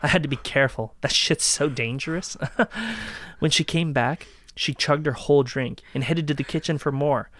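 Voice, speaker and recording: A man acting a cold, gloating killer who calmly taunts his ex in a dark audio drama. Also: on the high side. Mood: gloating, casual. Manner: casual, calmly taunting, unhurried menace.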